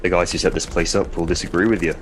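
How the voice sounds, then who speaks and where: A man playing a gruff, sceptical prison warden in an audio drama, dry, plain-spoken and commanding. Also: low-pitched, steady tone, fast talker, slight background noise, close to an everyday voice.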